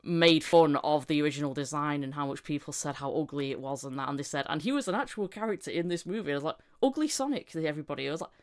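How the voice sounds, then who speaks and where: A woman with a lowish voice hosting a casual podcast chat, animated and quick to laugh as she talks. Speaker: podcast host and interviewer.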